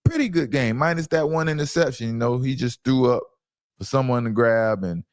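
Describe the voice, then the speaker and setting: A man's voice: mid-range voice, moderate pace, no echo, studio quality. A man hosting a sports reaction show, giving opinionated commentary in an emphatic, insistent way and repeating phrases for stress.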